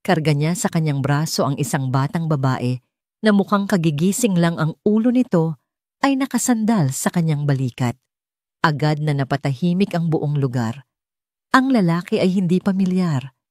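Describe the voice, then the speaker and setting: A woman's voice: lowish voice, darkish tone, no room sound, clean studio sound. A woman reading a scripted romance story as an audiobook narrator.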